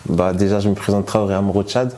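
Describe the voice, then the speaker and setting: A man's voice: fairly low pitch, fairly even intonation, a little fast, mellow. A man born in France telling his personal testimony in a hesitant way, with many fillers and restarts. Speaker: guest giving testimony.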